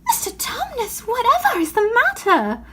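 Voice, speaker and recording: An earnest, kind little girl from a storybook, played by a woman reader. Her voice sits high and she speaks in anxious, pleading exclamations. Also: wildly swooping pitch, normal speed, bright, forceful tone, full character voice. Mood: distressed.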